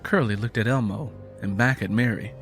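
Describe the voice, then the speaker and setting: A man's voice: on the low side, normal speed, faint music behind. A man narrating scripted fiction in a dramatic storytelling style.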